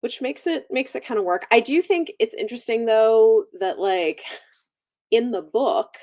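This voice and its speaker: A woman's voice: a little high, expressive, unhurried, dark tone. A woman hosting a casual livestream book chat, talking conversationally and thinking aloud as she goes. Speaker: book channel host.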